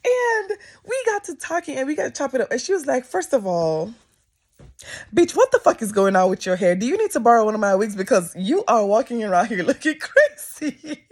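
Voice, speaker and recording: A Jamaican woman vlogging to camera in a casual storytime chat, her voice jumping up when she quotes people. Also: high-pitched. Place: office.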